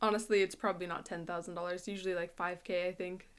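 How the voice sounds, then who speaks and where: A woman's voice: fairly low pitch, level tone, quick pace, smooth. Amused, sardonic woman giving casual commentary. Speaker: reaction video creator.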